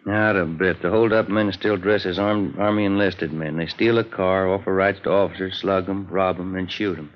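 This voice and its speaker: A man's voice: deep voice, fairly even intonation, normal speed, hardly any pauses, close to an everyday voice. A man playing a plain-spoken, laconic police detective partner in a radio drama. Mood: weary, factual. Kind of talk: 1940s-50s radio acting. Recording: muffled, noisy old radio recording.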